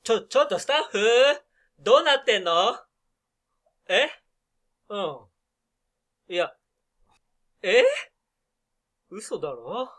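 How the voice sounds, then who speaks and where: Guy doing a theatrical game show master, bright high voice, pompous announcer style, radio drama. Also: very animated, takes it slowly, long pauses, exaggerated voice. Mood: bewildered. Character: theatrical male game master running a punishment eating contest.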